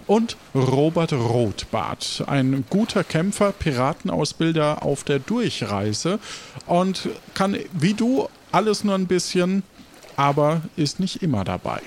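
A man's voice: fairly high pitch, very animated, slow. Man game-mastering, faint background noise. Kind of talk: improvised narration of a tabletop game.

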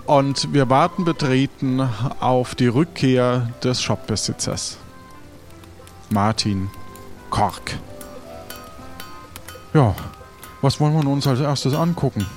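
Slow, halting male game narrator. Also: mid-range voice, soft background music. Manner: halting, searching for words. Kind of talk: improvised narration of audio-play adventure.

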